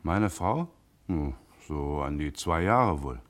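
Very deep-voiced, evasive car mechanic. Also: male voice, expressive, slow, heavily acted. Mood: uneasy, hesitant. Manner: hesitant, hedging, stammering. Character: evasive, uneasy male car mechanic under questioning. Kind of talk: German radio crime drama acting.

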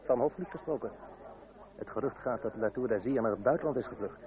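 An actor playing a talkative, politically minded French gentleman in a radio play, cultivated and gossipy. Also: mid-range voice, a little fast, close to an everyday voice. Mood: gossipy, teasing. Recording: tinny, band-limited old radio recording.

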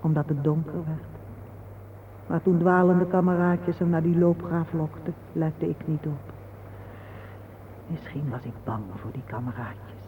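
Man acting high-voiced solemn parent in old radio play. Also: lightly acted. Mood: sorrowful. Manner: solemn, poetic, measured. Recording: narrow phone-like old radio recording, faint hiss.

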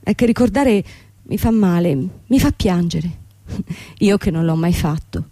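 An actress playing a young, proud, bitter bankrupt company owner in a theatrical radio drama. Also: female voice, fairly low pitch, unhurried, mellow, no room sound. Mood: anguished, sombre. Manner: theatrical, dramatic delivery with repetition.

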